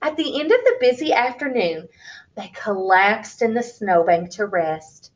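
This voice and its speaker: A woman reading a picture book aloud for young children in an expressive, deliberate way, as a storyteller. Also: medium pitch.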